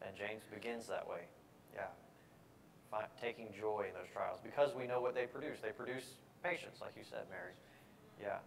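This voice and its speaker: Young guy leading bible class in a church hall, kinda low voice, mic sounds far off. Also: moderate pace, gravelly. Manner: relaxed, many fillers like "yeah" and "you know". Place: church fellowship hall, indoors. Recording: distant mic, faint room noise.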